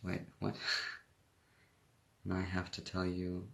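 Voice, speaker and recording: Low, gravelly young German man. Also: completely flat delivery, slow pace. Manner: rambling, self-correcting, laughs often. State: tired. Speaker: livestream host. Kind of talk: casual livestream chat reading comments. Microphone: phone.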